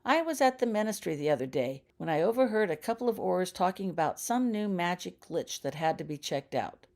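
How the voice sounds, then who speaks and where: A woman voicing a caring adult male wizard in an audiobook, speaking gently and seriously with measured questions. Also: lowish voice, lively intonation, pitched above the performer's own voice. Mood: casual probing. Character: caring adult male wizard cousin, calm, grave and probing. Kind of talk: audiobook character dialogue.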